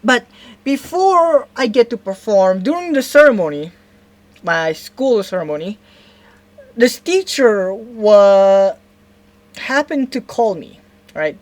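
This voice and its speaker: A woman's voice: medium pitch, darkish tone. A young woman from Indonesia with an Indonesian accent, telling a story in a casual vlog, rambling, stopping to correct herself and often asking "right?". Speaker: vlogger.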